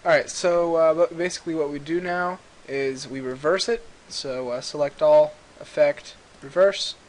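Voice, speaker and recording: A man giving a casual, rambling software tutorial, recorded on a computer microphone a little away from him. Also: fairly high pitch, moderate pace. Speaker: tutorial host. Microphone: computer mic a little far away. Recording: clearly hissy amateur computer mic recording.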